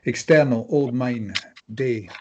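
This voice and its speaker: A man's voice: mid-range voice, slow, lo-fi sound, a little hiss or hum. A man reading stage directions as narrator, in scripted dramatic present-tense narration.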